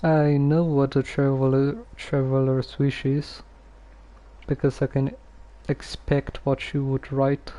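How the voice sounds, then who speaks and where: Slow, mellow man streaming a game. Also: mid-range voice, flat delivery, low-quality recording. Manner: halting, musing aloud. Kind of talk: casual game commentary.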